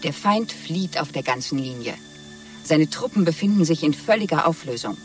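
A man's voice: a little high, lively intonation, normal speed, natural voice. Guy acting a triumphant state propaganda announcer in an old radio play, declaiming, a bit far from the mic, music behind. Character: jubilant male propaganda announcer of a totalitarian state. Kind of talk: bulletin announcement in a 1970s German radio play.

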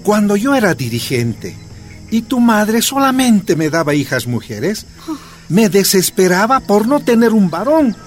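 An older father in a radio drama, high-voiced with lively swings in pitch. He speaks earnestly, urging and proud, over faint music. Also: measured pace. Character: devoted older father, proud former union leader.

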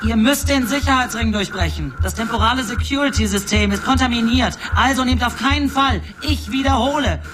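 An actor playing a stern, exasperated space control commander in a German science fiction radio drama, giving urgent military announcements. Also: male voice, very high voice, a little fast, few pauses, over music. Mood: urgent briefing.

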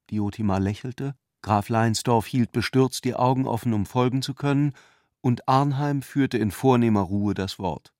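A man's voice: on the low side, dry recording, studio quality. A man reading literary narration as the narrator of a radio play.

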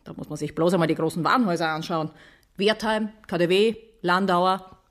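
A woman's voice: lowish voice, fairly even intonation, brisk, natural voice. An actress playing a spiteful, hate-filled city woman who hisses and rants in agitation in a radio drama. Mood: spiteful, agitated. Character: venomous, hate-filled Berlin woman ranting in prejudice.

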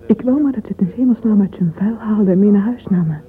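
A woman's voice: medium pitch, fast, close to an everyday voice. An actress playing a dreamy, yearning, romantic young woman in a radio drama monologue. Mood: irritated. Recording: thin phone-like old radio recording, noisy.

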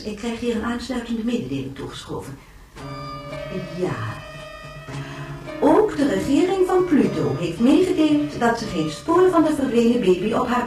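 An actress playing an official, formal television newsreader of the future in a science fiction radio drama. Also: female voice, a little high, natural voice. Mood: official, composed. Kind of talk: scripted news bulletin within a radio drama. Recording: very echoey, distant, music behind. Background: music.

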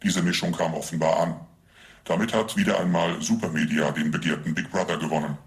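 A man's voice: deep voice, fairly even intonation, a little fast, gravelly. An actor in a German radio drama playing a grandiose, formal male announcer. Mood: official, proclaiming.

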